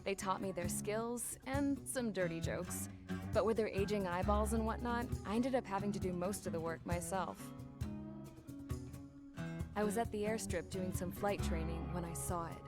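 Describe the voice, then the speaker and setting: A woman's voice: medium pitch, normal speed, natural voice. An actress playing a plain-spoken, wistful young woman pilot and mechanic, narrating her own story in a casual, wry way for a game cutscene, with music behind her. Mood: wry, reminiscing. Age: young adult. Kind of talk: scripted first-person cutscene narration.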